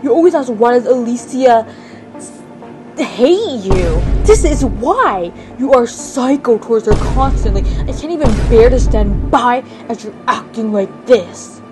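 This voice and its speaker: A woman's voice: on the high side, lively intonation, a little slow, over music. A woman playing an earnest, exasperated teenage son, pleading emphatically in an amateur game roleplay.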